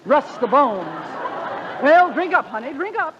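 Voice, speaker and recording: A woman's voice: high-pitched, big pitch swings, unhurried, fairly bright tone, some chatter behind, a little hiss or hum, exaggerated voice. An actress playing a brash, generous old country farm woman with a rustic drawl, in 1940s radio comedy style. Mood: hearty insisting. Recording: dull old radio recording.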